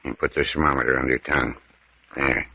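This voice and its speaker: A man's voice: a bass voice, steady tone, quick pace, gravelly, slightly rough, dry recording, full character voice. An actor playing a folksy, fussing older country doctor in an old-time radio serial, grumbling as he goes. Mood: fussing, bossy. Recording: muffled vintage radio recording.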